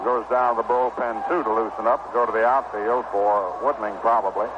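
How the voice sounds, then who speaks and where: An American man calling baseball play-by-play on 1960s radio in a measured, descriptive way with pauses. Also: on the low side, fairly even intonation. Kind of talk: live 1960 radio sports commentary. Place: ballpark broadcast booth. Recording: noisy muffled vintage 1960 radio broadcast.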